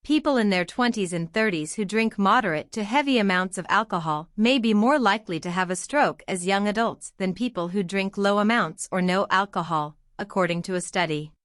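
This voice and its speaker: A woman's voice: mid-range voice, fairly quick, hardly any pauses, a bit bright, no room sound, clean studio sound. A woman reading a scripted passage aloud as a language exam practice example.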